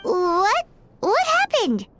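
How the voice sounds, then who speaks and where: A woman voicing a small, excitable, childlike game companion with a squeaky, exclamatory delivery. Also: drawn-out words, music in the background, full character voice. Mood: amazed, curious. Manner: exclamatory, wide-eyed. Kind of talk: video game voice acting.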